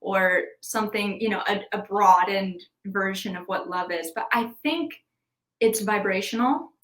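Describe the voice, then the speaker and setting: A woman's voice: medium pitch, measured pace, a bit bright, reverberant, poor audio. A woman chatting as a podcast guest in a bubbly, giggly and playful way. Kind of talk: casual interview chat.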